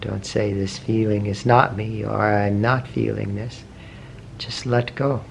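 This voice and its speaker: A man leading a guided meditation, asking slow, gentle questions with long pauses between phrases. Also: fairly low pitch, level tone, creaky. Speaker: meditation teacher. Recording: faint background noise with meditation music.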